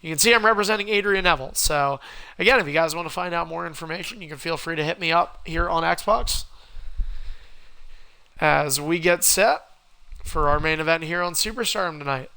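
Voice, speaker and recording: A man with a cold, sounding hoarse, hosting a game livestream and calling the action play-by-play like a wrestling announcer. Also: fairly high pitch, a little fast, bright, forceful tone. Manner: wrestling-announcer calls, rising and punchy. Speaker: stream host and commentator.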